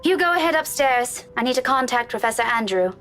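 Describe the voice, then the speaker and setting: A woman's voice: a little high, lively intonation, a little fast, fairly bright tone, slight background noise. An actress playing a headstrong, irritable young woman in a visual novel, speaking curtly and firmly. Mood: irritated, firm.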